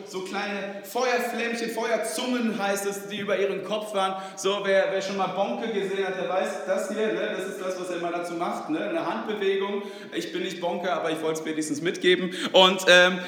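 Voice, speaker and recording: A man with a fairly high voice preaches in a loose, chatty way, peppering his talk with fillers and little tag questions. His first language is Swedish. The sound is very roomy, with a long reverb trailing behind every phrase. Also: fairly bright tone. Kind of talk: informal sermon. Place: church hall.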